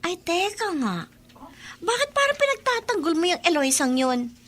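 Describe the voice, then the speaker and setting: Haughty teen brat: very high, bright, whiny radio drama delivery. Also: female voice, big pitch swings, normal speed, full character voice. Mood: indignant snobbery. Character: snobbish, bratty rich third-year schoolgirl. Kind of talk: Tagalog radio drama acting.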